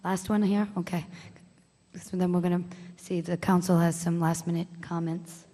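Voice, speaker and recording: A woman chairing a town council meeting, briskly keeping public speakers on time and on topic. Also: on the low side, flat delivery, dark tone. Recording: echoey hall, audience murmur.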